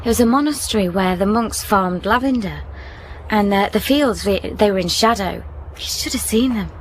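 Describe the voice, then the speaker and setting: An actress playing a recently widowed young woman in a radio drama, speaking softly and hesitantly, curious and tender. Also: mid-range voice, normal speed, slight background noise, close to an everyday voice. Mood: wistful. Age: young adult. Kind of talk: naturalistic radio drama acting.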